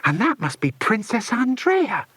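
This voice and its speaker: An eager adventurous little girl, played by a male narrator in a children's audiobook. The voice is pushed high and moves around a lot, recorded dry. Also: wildly swooping pitch, measured pace, a bit bright, slightly rough, dry recording, heavily acted. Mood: excited, eager.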